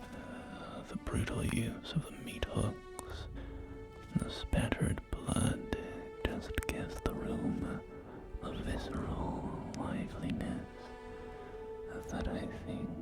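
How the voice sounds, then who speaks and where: A whispered, breathy ASMR roleplay voice playing a polite, genteel real estate agent, unhurried and hesitant, with refined phrasing. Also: extremely high pitch, wildly swooping pitch. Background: soft music.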